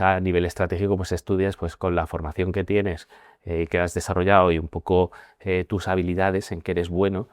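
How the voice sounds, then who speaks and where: Man in his 40s from Spain, very low voice, dry close sound, thoughtful guest who hesitates a lot. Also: no echo. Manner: measured, reflective, hesitant with many fillers. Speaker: guest, managing partner. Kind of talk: conversational interview.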